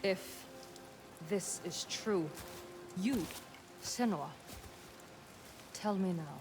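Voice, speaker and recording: Casting: stern, desperate warrior leader in game drama; lowish female voice, terse commands, music clearly behind. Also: expressive, extremely slow, full character voice. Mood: demanding. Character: hard, distrustful Norse female leader, desperate for her people. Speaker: game character actor. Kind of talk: cinematic video game acting.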